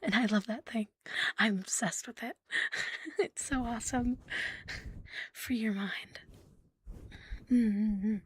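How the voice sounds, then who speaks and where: A woman streaming ASMR in a soft, breathy, often whispered voice, playful and intimate, close to the microphone. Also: fairly high pitch, slow, pauses often, a little hiss or hum. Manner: playful, giggly, intimate, lingering on repeated words. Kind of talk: ASMR roleplay with casual rambling to stream viewers.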